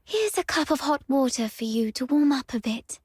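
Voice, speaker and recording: High, lilting polite young attendant woman. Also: expressive, moderate pace, a little mellow, husky, no room sound, clean studio sound. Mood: courteous, solicitous. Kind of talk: video game voice acting.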